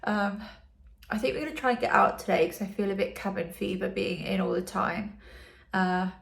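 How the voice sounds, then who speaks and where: Young uk woman vlogging w a really stuffy blocked nose, bit of vocal fry, echoey room, kinda breathless. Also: medium pitch, normal speed. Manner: chatty, lots of ums and likes. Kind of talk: casual video diary.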